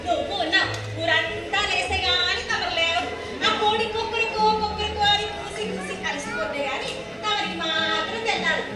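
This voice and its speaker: A woman's voice: extremely high pitch, normal speed. Lady acting as a scolding mom in a stage play, super emphatic, far off with music and crowd behind. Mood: nagging, sarcastic. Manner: nagging, emphatic, sarcastic. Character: scolding mother doting on her elder son. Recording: distant echoey hall, music and crowd.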